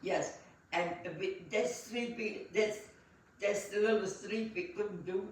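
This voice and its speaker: A very old woman from Belgium speaks in English, taking her time and pausing often between thoughts. Her voice sits back from the mic in a strongly echoing room. Faint background noise runs under her words. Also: mid-range voice, fairly even intonation. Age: 90s. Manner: unhurried, halting, many fillers and restarts. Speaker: interviewee. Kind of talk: reminiscing storytelling. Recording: echoey room, voice set far from the mic.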